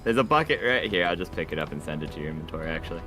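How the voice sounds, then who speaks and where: A man with a fairly low voice talks casually as he guides friends through a video game. He sounds like a streamer chatting on call. Game music plays clearly behind him. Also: animated, rapid-fire. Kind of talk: casual gaming chat.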